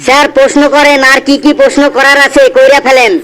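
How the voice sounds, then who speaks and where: Really high squeaky cartoon school kid, smart-mouthed and totally unfazed. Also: male voice, little pitch movement, fast, pushed and bright. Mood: cheeky. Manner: matter-of-fact, cheeky comebacks. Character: cheeky sixth-grade schoolboy, smart-mouthed and unflappable. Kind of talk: comedy cartoon dialogue.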